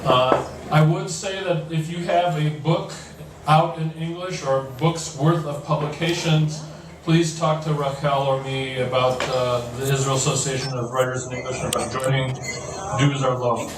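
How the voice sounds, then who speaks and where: A man poet introduces himself and his poem in a halting way, with many fillers and restarts. He is captured from a distance in a cafe, with heavy echo and a layer of background noise. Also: mid-range voice, fairly even intonation. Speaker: poet and writers' association chair. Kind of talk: introduction before a poetry reading. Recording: echoey room from far off with background noise.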